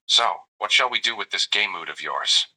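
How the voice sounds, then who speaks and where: Synthetic man voicing a doting AI companion, deep and bright. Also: animated, moderate pace, poor audio. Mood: tender. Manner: narrates his own gestures in the first person, calls the listener darling. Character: doting flirty male AI companion, gentle and courteous. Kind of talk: chatbot roleplay reply.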